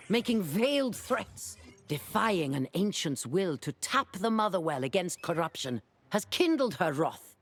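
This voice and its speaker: An actor voicing a brisk, grumbling alchemist scholar in a video game, husky and theatrically exasperated. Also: medium pitch, fairly bright tone, full character voice. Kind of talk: video game cutscene dialogue.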